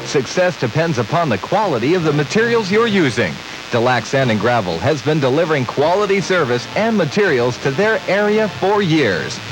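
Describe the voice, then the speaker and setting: Lively male radio ad announcer over music. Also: on the high side, low-quality recording. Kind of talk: scripted local radio commercial.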